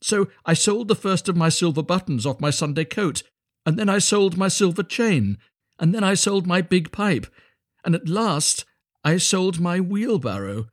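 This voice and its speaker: Man doing high, eager, humble little gardener. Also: expressive, unhurried. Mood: sheepish, hopeful. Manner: eager, grateful, hesitant. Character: little Hans, cheerful, humble, naive gardener. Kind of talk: storybook character voice.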